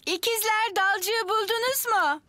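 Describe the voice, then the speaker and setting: A woman's voice: very high voice, a little slow, bright, forceful tone, no echo, exaggerated voice. A woman playing a kindly, cheerful older grandmother who speaks soothingly to small children in a dubbed children's drama. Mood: bright, encouraging. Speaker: grandmother character.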